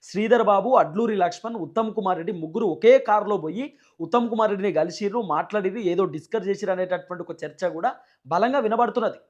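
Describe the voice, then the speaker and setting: A man with a high voice and a Telangana Telugu accent delivers political commentary to viewers at a quick clip. His manner is emphatic and repetitive, built on rhetorical questions. The sound is a little dull and lo-fi. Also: darkish tone. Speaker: news channel host and political commentator.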